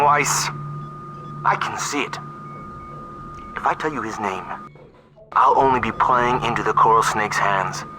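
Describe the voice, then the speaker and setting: An actor playing a stern, ruthless, self-righteous army colonel in a video game cutscene, speaking in a measured, condescending, menacing way. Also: male voice, medium pitch, pushed and bright, slight background noise, poor audio. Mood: warning, insistent. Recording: music behind the voice.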